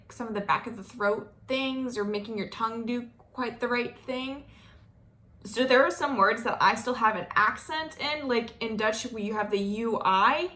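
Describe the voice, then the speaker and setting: A woman's voice: a little high, sharp tone. A young American woman from Florida chatting casually to camera, with lots of fillers and asides. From Florida, United States. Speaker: vlogger.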